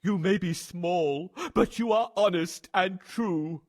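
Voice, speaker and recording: An actor playing a proud, hungry male lion king in a storybook tale, with booming, slow, theatrical delivery. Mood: grateful. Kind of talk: storybook character acting.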